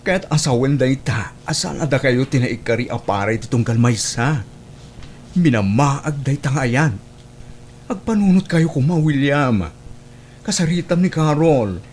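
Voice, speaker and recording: A man's voice: mid-range voice, lively intonation, a little slow, darkish tone, slight background noise, close to an everyday voice. A man with a northern Philippine accent playing a sensible, admonishing friend in an audio drama. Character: concerned, level-headed friend giving frank moral advice.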